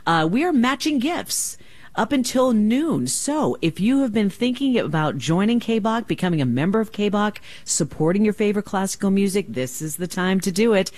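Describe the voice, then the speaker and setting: A woman's voice: medium pitch, expressive, moderate pace, a little mellow, dry recording. A woman radio host making a chatty, enthusiastic pledge-drive appeal from a studio microphone.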